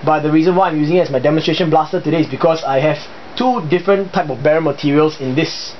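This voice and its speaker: A man's voice: on the high side, fast. A young man from Singapore with a Singaporean accent, casually explaining his hobby gear in a chatty, hesitant way with many fillers. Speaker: hobbyist video host. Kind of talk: casual show-and-tell explanation. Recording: dull lo-fi home recording with faint hiss.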